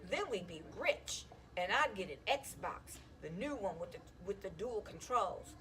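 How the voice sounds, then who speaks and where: A woman's voice: mid-range voice, expressive, a little slow, sharp tone. Woman putting on a whiny, lazy young boy, swooping. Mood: daydreaming.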